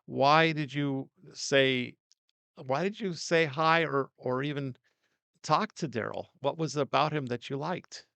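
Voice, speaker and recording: An older man hosting a casual interview in a small studio, talking loudly and coaxingly into a directional mic. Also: medium pitch, unhurried, vocal fry, clean tone, no echo. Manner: loud, coaxing, chatty. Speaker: host.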